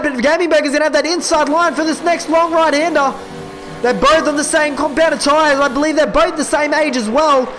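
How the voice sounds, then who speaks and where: A young man calling a sim racing event live, excitable and quick, his voice giving out and cracking. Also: very high voice. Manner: excitable, quick calls that rise at overtakes. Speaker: esports race commentator. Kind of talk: live play-by-play race commentary.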